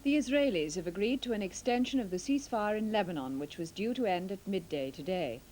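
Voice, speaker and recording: A woman's voice: medium pitch, lively intonation, fast, darkish tone, slight background noise, rough recording. British woman reading the news. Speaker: newsreader.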